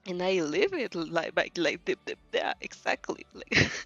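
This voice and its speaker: Young woman, non-native English speaker, slow rambling stream chat, hesitant and giggly, lo-fi sound. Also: on the low side, big pitch swings, fairly bright tone. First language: not English. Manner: hesitant, giggly, self-correcting. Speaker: host reacting to fan fiction. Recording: dull lo-fi mic, faint music behind.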